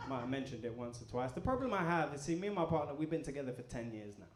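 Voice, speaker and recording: A man doing a stand-up comedy set, deadpan and crude, bantering with the crowd. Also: mid-range voice, very fast, background noise. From England. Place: comedy club in front of a live audience. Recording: echoey club room. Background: audience laughter.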